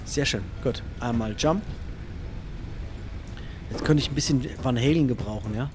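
A man's voice: mid-range voice, normal speed, noisy background. Chatty man thinking aloud while gaming. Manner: thinks aloud, chatty, talks to himself and viewers. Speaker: let's play host. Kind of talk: casual gaming commentary.

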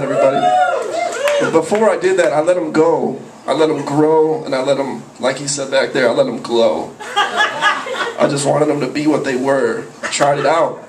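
A young man doing profane open mic stand-up, rambling with a slightly high voice. It is caught by a far camera in a small cafe, so it sounds roomy and distant, with clear room noise behind it. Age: young adult. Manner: profane, rambling, jumps into yelped character voices. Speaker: stand-up comedian. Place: small cafe with a live audience. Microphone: handheld mic, filmed from a far camera. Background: audience laughter.

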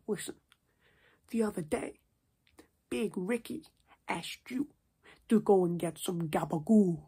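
A young man voicing a stern, scolding New Jersey mob boss in a hushed, husky ASMR roleplay. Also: high voice, exaggerated voice. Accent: put-on New Jersey mobster. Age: 20s. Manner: repetitive, slangy, stern with checking tags. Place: small domestic room, indoors.

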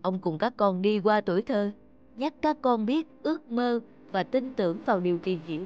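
An actor voicing a gentle, patient middle-aged father in an animated children's story, with a tender, measured, explanatory manner. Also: medium pitch, dull audio, slight background noise, lightly acted. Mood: tender, reassuring.

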